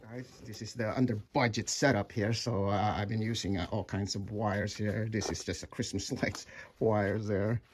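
A middle-aged man giving a casual walkthrough of his home-built project, halting with many fillers and restarts. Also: fairly low pitch, little pitch movement, a little hiss or hum. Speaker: DIY builder. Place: home room with sim cockpit, indoors.

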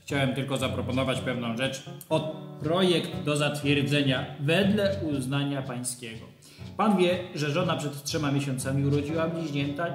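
A man's voice: on the high side, moderate pace, natural voice. Guy playing a pushy wheedling neighbour, talky, radio play, echoey room. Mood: ingratiating. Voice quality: chatty, wheedling. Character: pushy fawning male neighbour, bureaucrat. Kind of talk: radio drama acting. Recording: roomy echo with music behind.

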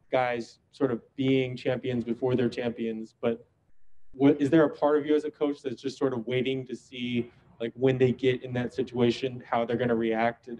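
A man's voice: medium pitch, a little mellow, muffled recording. A man reporter asking a long, self-correcting question at a press conference.

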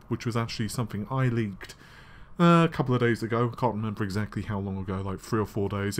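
A man hosting a casual tech news show, his voice slightly scratchy and worn, talking in a chatty way with frequent fillers and quick asides. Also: medium pitch. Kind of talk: casual solo tech commentary. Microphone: laptop recording setup.

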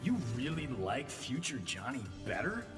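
A man's voice: mid-range voice, big pitch swings, moderate pace, music in the background. A man playing a cocky young action movie star in video game banter, flippant, slangy and showy.

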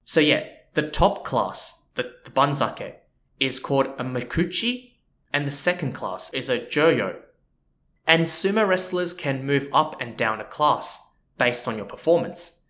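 A man hosting a history podcast, narrating in a relaxed, chatty way with frequent asides to the listener. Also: mid-range voice, measured pace. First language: not Japanese. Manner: relaxed and chatty, with frequent "you know" and "so yeah". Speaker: podcast host.

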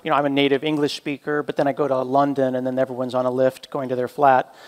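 A man's voice: medium pitch, monotone, quick pace, in a large hall. A man hosting a live tech event, chatting in a playful, teasing, upbeat way with his guest. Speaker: event host. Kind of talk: casual hosted conversation.

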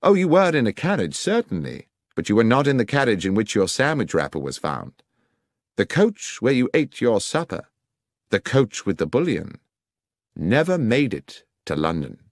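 A man voicing a brisk, incisive male detective in a dramatised audiobook, precise and emphatic, with a coolly sardonic edge. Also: fairly low pitch, huge pitch swings, dry recording, studio quality, voice pushed up, exaggerated voice. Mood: triumphantly explaining.

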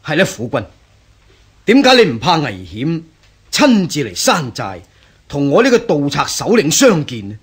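An actor playing a defiant, bitter bandit chief in a radio drama, with a husky voice and a Hong Kong Cantonese accent. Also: male voice, on the high side, wildly swooping pitch, normal speed, full character voice. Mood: challenging. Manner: indignant, scoffing, rhetorical.

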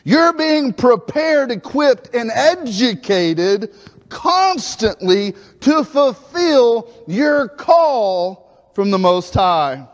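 A man, preaching a lively sermon in a church sanctuary on a lapel mic, acting out scenes as he moves about. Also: extremely high pitch, drawn-out words. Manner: animated preaching, acts out biblical dialogue, moves about the stage. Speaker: pastor. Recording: reverberant church hall.

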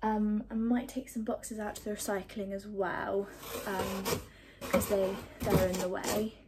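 A woman in her twenties chatting casually through her evening routine at home, rambling with lots of ums and quick asides. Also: mid-range voice, flat delivery, background noise. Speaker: vlogger. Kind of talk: casual chat. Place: small flat, indoors, lounge, kitchen and bathroom. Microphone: phone held at arm's length.

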